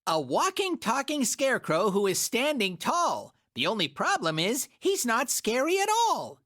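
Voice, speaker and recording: A woman giving scripted rhyming storybook narration with a lively, musical lilt. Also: on the high side, measured pace.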